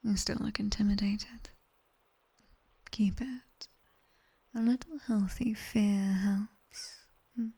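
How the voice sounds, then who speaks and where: A strict nightmare demoness voiced by a woman whispers with a British accent, very slowly and deliberately. Her voice is breathy and soft, with a creaky edge, yet commanding and teasing, as in an ASMR roleplay. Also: medium pitch, steady tone, heavily acted. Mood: stern, teasing. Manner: slow, measured, commanding. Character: sharp-tongued female nightmare demon tutor, strict, teasing.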